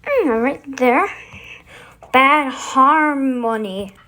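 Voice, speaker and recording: Slow-paced, higher-pitched female host. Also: bright, forceful tone. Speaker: channel host. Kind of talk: casual demo of voice effects to camera.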